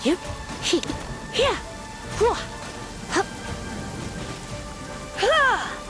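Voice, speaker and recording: A woman's voice: very high-pitched, big pitch swings, very slow, music in the background, heavily acted, poor audio. A woman voicing a confident, playful young fighter who teases in a video game. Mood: teasing, confident.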